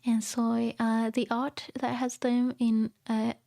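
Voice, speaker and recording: A woman whose first language is Japanese, speaking English with a fairly high voice. She talks through a lesson on a casual livestream, loose and chatty, padding her sentences with fillers. Her tone stays encouraging as she answers viewers. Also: flat delivery, takes it slowly, gravelly, mellow. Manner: chatty, full of "you know" and "um", encouraging. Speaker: Japanese teacher streaming a manga reading.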